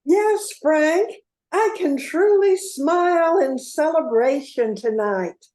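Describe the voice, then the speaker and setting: A woman's voice: high-pitched, expressive, slow, a little mellow, echoey room. A woman performing in an amateur radio play, reading her part from a script.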